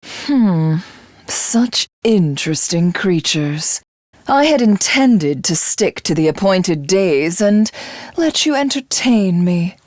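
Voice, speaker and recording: An actress playing a haughty, vengeful alien warlord in a video game, speaking in formal, mocking, theatrical lines. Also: female voice, lowish voice, sing-song, takes it slowly, darkish tone, no room sound, studio quality. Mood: haughty, musing. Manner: imperious, mocking, formal archaic diction. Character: haughty, vengeful alien warlord, a self-styled living god. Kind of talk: theatrical game villain monologue.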